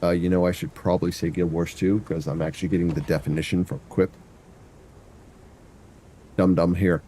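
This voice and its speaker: Stuffy-sounding guy thinking out loud on stream, lowish voice, bit of music in the background. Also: flat delivery, moderate pace, slight background noise. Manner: rambling, thinks aloud, hums to himself. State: stuffy nose. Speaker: variety streamer. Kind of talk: casual gameplay commentary.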